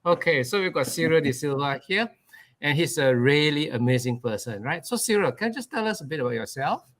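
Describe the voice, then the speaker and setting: A man's voice: on the high side, animated, a little fast, fairly bright tone. A man hosting a live online interview show, talking in a chatty, upbeat way with lots of fillers and repeated starts. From Australia. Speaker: host.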